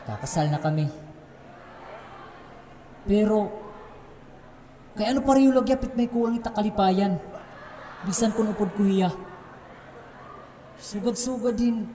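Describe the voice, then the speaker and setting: Male actor as tormented closeted man, declamatory, high-pitched, theatrical monologue, reverberant hall, faint noise. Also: unhurried, pauses often, warm tone. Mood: conflicted. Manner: impassioned, declamatory. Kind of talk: amateur stage play monologue. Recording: echoey hall, distant mic, faint noise.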